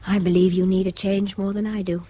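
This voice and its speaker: An actress playing a tired, strained but fond wife in a radio play, earnest, with a husky voice. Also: female voice, medium pitch, flat delivery, fairly quick, mellow, close to an everyday voice. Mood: fond, yielding. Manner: weary, earnest.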